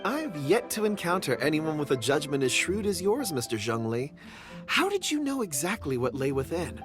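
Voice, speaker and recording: An actor playing a courteous, eager young gem enthusiast in a video game, speaking politely, earnestly and eloquently. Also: male voice, fairly high pitch, sing-song, normal speed, music in the background. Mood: admiring.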